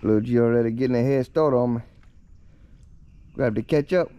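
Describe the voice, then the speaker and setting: A man's voice: medium pitch, brisk, dark tone, smooth, no echo, highs cut off. A man, talking casually to his son and his viewers in an easygoing vlog filmed outdoors on a handheld camera. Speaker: vlogger. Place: outdoors on a bayou.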